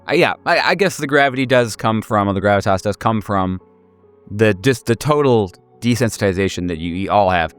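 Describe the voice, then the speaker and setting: Low, smooth-voiced man running a horror game. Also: expressive, a little fast, faint music behind. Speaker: game master and host. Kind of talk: improvised horror tabletop game narration mixed with casual chat.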